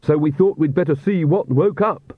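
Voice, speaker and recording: A man's voice: a little high, normal speed, husky, dry recording, narrow, tinny audio, pitched above the performer's own voice. A man voicing an earnest, cautious young villager who sounds hesitant and reassuring. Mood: apologetic, reassuring.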